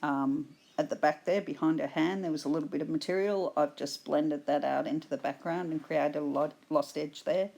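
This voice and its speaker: A woman with an Australian accent, hoarse from a cold, patiently explaining her painting steps in a tutorial voice-over. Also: sits low, fairly even intonation, darkish tone. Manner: slow, patient explaining with fillers. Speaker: art instructor.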